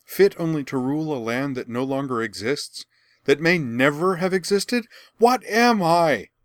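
A man's voice: medium pitch, takes it slowly, no room sound, studio quality, pitched above the performer's own voice, lightly acted. A man reading a novel aloud, voicing a proud young princess who has lost everything and rants in anguish. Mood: anguished ranting. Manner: ranting, raw and anguished.

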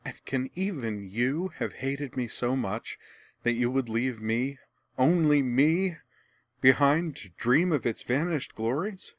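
A man's voice: mid-range voice, slow pace, no room sound, studio quality, pitched above the performer's own voice, lightly acted. A man reading a novel aloud, voicing a proud young princess who has lost everything and rants in anguish. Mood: plaintive. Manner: ranting, raw and anguished.

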